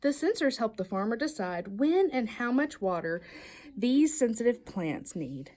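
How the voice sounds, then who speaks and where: A woman reads a children's picture book aloud with a fairly high voice. Her pitch moves up and down in a lively way, and she stresses key words like a storyteller engaging young listeners. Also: measured pace. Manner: expressive, emphatic storytelling delivery with playful questions. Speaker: storybook reader.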